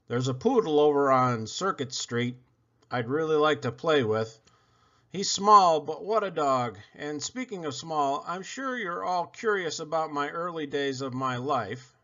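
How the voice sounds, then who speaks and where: A man's voice: on the high side, lively intonation, a little slow, sharp tone, lo-fi sound, heavily acted. A man voicing a blunt, talkative female pet dog who rambles on in a children's storybook. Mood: blunt, chatty. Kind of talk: children's storybook read-aloud.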